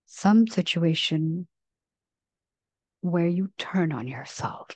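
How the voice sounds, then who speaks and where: A woman leading a guided meditation in a gentle, measured manner, leaving long pauses between phrases. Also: fairly low pitch, animated, no echo.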